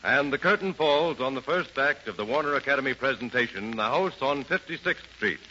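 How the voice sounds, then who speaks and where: A man's voice: medium pitch, expressive, brisk, lo-fi sound. A man announcing a radio drama in a formal, theatrical 1930s style. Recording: noisy, band-limited 1930s radio recording.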